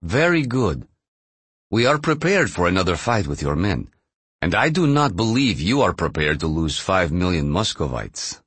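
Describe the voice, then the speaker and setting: A man voicing a defiant, demanding Russian militant leader in an audiobook thriller, forcefully issuing demands. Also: sits low, very animated, normal speed, husky, no room sound, exaggerated voice. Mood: cold, threatening.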